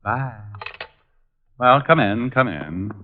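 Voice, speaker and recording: An actor playing a sardonic private detective in a vintage radio drama, with an American accent and glib, dry wit. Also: male voice, mid-range voice, expressive, slow pace, a little hiss or hum. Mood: playful, glib. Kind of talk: 1950s radio drama acting and noir narration. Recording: muffled vintage radio recording, music.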